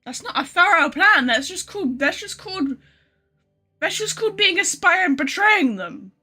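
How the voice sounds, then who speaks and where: Woman game streamer, high and bright, informal, sweary, self-deprecating live chat. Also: sing-song, normal speed, soft background music. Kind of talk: casual live gameplay chat, reading some lines aloud. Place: bedroom.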